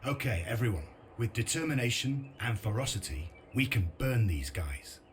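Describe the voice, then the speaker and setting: An actor playing a grim, sardonic resistance commander in a video game, with a blunt, dry, determined delivery. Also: male voice, medium pitch, lively intonation, moderate pace, a little hiss or hum, lightly acted. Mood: grim resolve. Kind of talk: video game cutscene narration and briefing.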